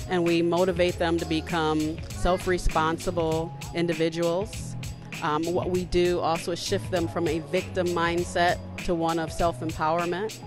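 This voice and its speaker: Measured woman with a lowish voice. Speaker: nonprofit project director. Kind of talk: short testimonial interview.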